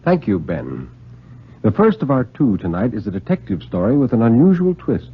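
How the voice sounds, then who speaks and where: A man reads a story aloud in the dramatic manner of 1950s radio. His husky voice moves expressively up and down through deliberate, literary sentences. The recording is old, muffled and thin. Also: medium pitch, wildly swooping pitch.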